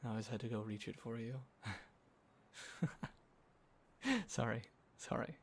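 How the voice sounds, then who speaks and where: A man acting as a sentimental young guy catching up with a close friend, talking in a casual, halting, soft-hearted ramble for an intimate roleplay audio. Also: medium pitch, sing-song, exaggerated voice. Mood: playful, nostalgic. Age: young adult. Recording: clear background ambience noise. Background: quiet city ambience.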